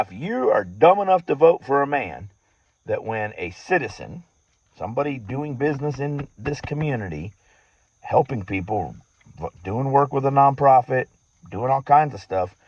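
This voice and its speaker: Male, mid-pitched, indignant and emphatic monologue, repeats phrases for weight, dull recording. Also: slight background noise. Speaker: farmer and activist speaking to followers. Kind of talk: personal monologue to an audience, political grievance. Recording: dull lo-fi audio.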